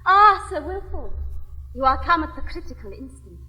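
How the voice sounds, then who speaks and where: Bright, arch English gentlewoman. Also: high voice, lively intonation, normal speed, big-room sound, heavily acted, low-quality recording. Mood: teasing, urging. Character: brisk, teasing, worldly married gentlewoman. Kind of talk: classic stage comedy acting.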